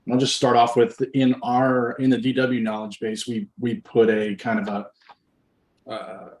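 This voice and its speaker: A man's voice: medium pitch, level tone, normal speed, darkish tone. A man hosting an informal webinar demonstration, explaining things step by step in a conversational way. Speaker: webinar host and software demonstrator. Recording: roomy, echoing microphone sound.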